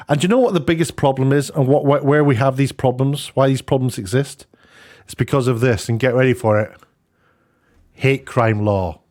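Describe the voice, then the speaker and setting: A man giving informal, opinionated commentary in an emphatic, halting, sardonic way. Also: medium pitch. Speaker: online commentator.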